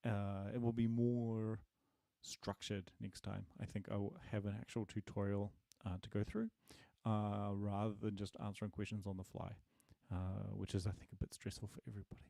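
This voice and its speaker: A man's voice: on the low side, flat delivery, normal speed, vocal fry, dark tone, no echo. A man teaching programming on a casual live stream, answering questions and thinking aloud with many fillers and restarts, friendly and self-deprecating. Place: home desk, dim room.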